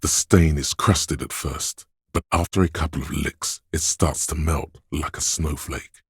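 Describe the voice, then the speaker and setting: A man's voice: extremely low pitch, fairly even intonation, a little slow, slightly rough, no echo, clean studio sound, full character voice. A man voicing the seductive inner voice of addiction in a video game, goading and coaxing as he savours his words. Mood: savouring, seductive. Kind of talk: scripted video game voice acting.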